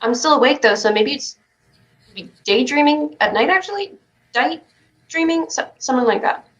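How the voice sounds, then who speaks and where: A woman acts out a child in a staged play reading, with a high voice. The kid is stubborn and imaginative, a young dreamer who takes make-believe seriously. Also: expressive, normal speed, fairly bright tone, muffled recording. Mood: dreamy, musing.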